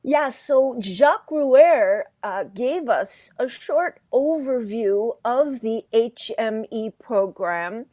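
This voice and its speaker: A woman with a fairly high voice asks slow, relaxed questions, and her sound is dry and close. She is the interviewer on a video call. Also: dry recording.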